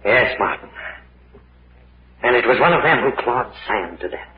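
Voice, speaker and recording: An actor playing a grave, methodical professor in an old-time radio horror drama, explaining things with deliberate authority. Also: male voice, medium pitch, expressive, natural voice. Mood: somber. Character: grave, methodical male professor leading a cave expedition. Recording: muffled, echoey old radio recording, faint noise.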